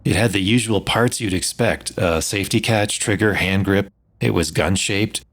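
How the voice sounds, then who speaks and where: A man playing a spaceship pilot who tells his own adventure in a measured, dry storytelling manner for a sci-fi audio drama. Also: low voice. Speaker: narrator and lead actor. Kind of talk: first-person sci-fi audio story narration.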